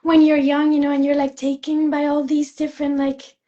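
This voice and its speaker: A young woman from Spain, a fashion student, chatting in an interview, soft-spoken with many fillers and restarts. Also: high-pitched, monotone, fairly quick, muffled recording. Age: young adult. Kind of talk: casual interview chat.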